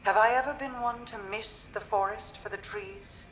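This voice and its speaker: An actress playing a regal, guarded and calmly resolute queen in a video game, speaking in a measured, formal, restrained manner. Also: fairly high pitch, a little hiss or hum, natural voice, poor audio. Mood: composed, assured. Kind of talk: dramatic video game dialogue.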